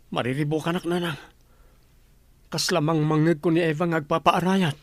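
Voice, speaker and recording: A man's voice: a little high, flat delivery, moderate pace, dry recording, close to an everyday voice. A man playing a worried, devoted young son in an audio drama, speaking earnestly. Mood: anxious.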